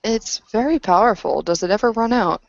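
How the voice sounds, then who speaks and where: A woman playing a battle-hardened, solemn werewolf warrior back from exile in a tabletop roleplaying game, telling her story deliberately. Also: medium pitch, gravelly, dry recording, lo-fi sound, pitched above the performer's own voice, close to an everyday voice. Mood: measured, curious.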